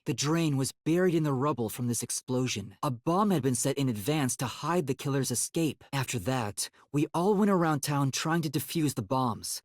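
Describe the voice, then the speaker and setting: A man's voice: a little high, no room sound, clean studio sound. An actor playing a timid, earnest young male detective in a video game, with a light, youthful voice and a hesitant, boyish manner. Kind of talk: English video game voice acting.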